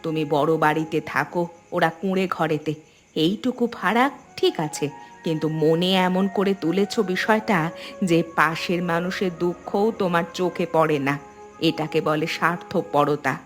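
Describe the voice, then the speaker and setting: A woman's voice: on the low side, moderate pace, natural voice. A woman voicing a principled village woman in a cartoon, speaking in an earnest, moralizing way. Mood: reproving. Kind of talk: Bengali cartoon dialogue. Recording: music behind the voice.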